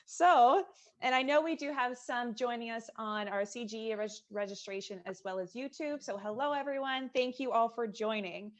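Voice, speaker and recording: A woman's voice: a little high, normal speed. Cheerful woman moderating a video call. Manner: cheerful, energetic welcome. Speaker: youth services presenter and event moderator. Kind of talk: upbeat event hosting.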